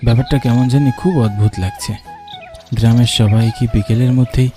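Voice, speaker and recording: A man's voice: medium pitch, steady tone, brisk, music in the background, natural voice. A man playing an earnest young man who narrates a cartoon ghost story in the first person. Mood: wondering, uneasy. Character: earnest young man narrating his eerie village journey.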